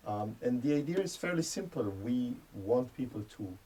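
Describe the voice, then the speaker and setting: A man's voice: medium pitch, animated, moderate pace, warm tone, a little hiss or hum, rough recording. A man in his forties from Israel, a retired chef turned spice merchant, explaining his ideas at length in a radio interview. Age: 40s.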